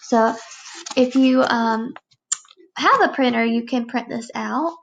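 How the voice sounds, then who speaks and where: Higher-pitched woman teacher with creaky voice. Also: slow pace, lo-fi sound, a little hiss or hum. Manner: simple, explanatory phrasing aimed at children. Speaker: elementary school teacher. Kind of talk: read-aloud lesson for young children.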